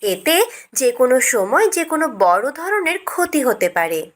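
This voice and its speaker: A woman voicing a naive, superstitious elder sister in an Islamic cartoon, explaining things in an animated way. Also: high voice, normal speed. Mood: confident, cautioning.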